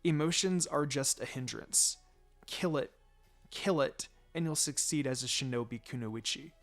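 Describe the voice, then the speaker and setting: A man voicing a young female ninja in a story reading, firm and sardonic but secretly soft-hearted. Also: mid-range voice, measured pace, soft background music. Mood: sorrowful, resolved.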